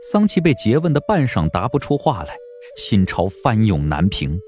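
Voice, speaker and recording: A man's voice: on the low side, huge pitch swings, normal speed, a little mellow. A man giving dramatic scripted narration for a historical audio drama, as an audiobook narrator.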